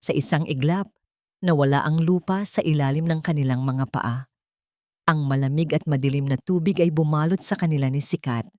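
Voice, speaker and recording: Low-voiced woman narrating a dramatic story. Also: normal speed, a little mellow, no room sound, studio quality.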